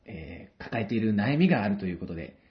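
A man's voice: on the low side, sing-song, fast. A man hosting a radio advice segment, interviewing politely and encouragingly with frequent attentive responses. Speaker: radio show host. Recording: dull lo-fi audio.

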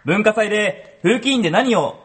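A man's voice: high-pitched, expressive, moderate pace. A man in an amateur radio drama, reading a dramatic trailer in a theatrical voice. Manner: theatrical, dramatic trailer delivery, laughs at flubs. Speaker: voice actor.

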